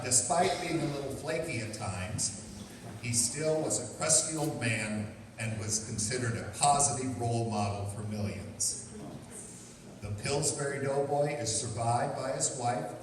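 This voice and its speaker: A man narrating a scripted radio comedy as announcer, deadpan and deliberate, with mock solemnity. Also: medium pitch. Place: live performance in a reverberant hall. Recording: echoey hall, distant mic, loud noise.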